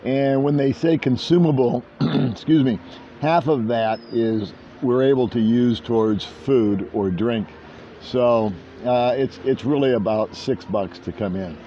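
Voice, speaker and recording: A man's voice: mid-range voice, measured pace, warm tone, slight background noise. An older man chatting casually to camera at a beach resort, rambling and self-deprecating. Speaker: vlogger. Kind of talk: casual vlog chat. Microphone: handheld small camera. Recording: dull lo-fi outdoor audio.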